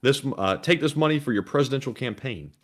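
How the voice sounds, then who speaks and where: Guy reading donation messages, calm, kinda monotone, mid pitch. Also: fairly quick. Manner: calmer, more monotone voice. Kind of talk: live stream, reading messages.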